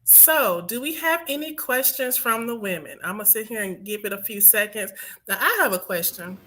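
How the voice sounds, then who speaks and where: A woman's voice: mid-range voice, animated, normal speed, bright, forceful tone. A woman hosting an online panel show, talking in an upbeat, chatty way straight to the women watching. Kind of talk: lively show hosting, addressing viewers.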